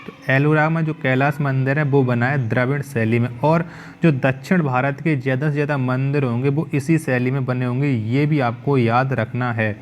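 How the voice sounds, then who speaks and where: A man's voice: medium pitch, fairly even intonation, normal speed, slight background noise. A male teacher reading out exam quiz questions, then repeating each question and its answer back to the viewer.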